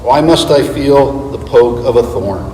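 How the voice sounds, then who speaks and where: A man giving an earnest, deliberate dramatic reading of a script as a church readers theater performer. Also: mid-range voice, warm tone. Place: large reverberant church space. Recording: echoey hall, distant mic, background noise.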